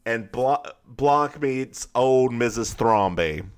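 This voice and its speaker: A man's voice: mid-range voice, expressive, slow pace, smooth. A man with a Southern US accent co-hosting a podcast, recapping a film in casual, playful chat with an effete, folksy manner. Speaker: podcast co-host.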